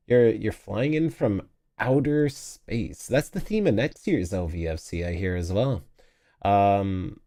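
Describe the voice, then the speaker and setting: A man's voice: lowish voice, no room sound. Guy rambling on stream, kinda sniffly and tired, voice bounces up and down a lot, dry close mic. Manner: rambling, self-correcting, chatty with restarts. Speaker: vlogger streaming a convention video review. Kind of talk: casual live-stream chat while editing.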